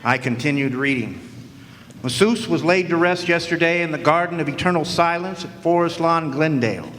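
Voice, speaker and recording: Male actor as a hardboiled radio detective: cynical, world-weary, deadpan narration, recorded in a reverberant hall. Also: a little high, moderate pace, slight background noise, close to an everyday voice. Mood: deadpan brooding. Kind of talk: 1950s noir radio drama narration. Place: large hall, live stage performance. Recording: echoey hall, stage performance.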